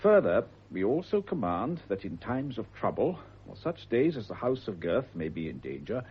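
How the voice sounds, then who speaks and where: An actor playing a courteous, ceremonial Crown official with a British accent in a radio drama, speaking in a formal, measured way. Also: male voice, on the low side, very animated, husky, dull audio, full character voice. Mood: formal, solemn.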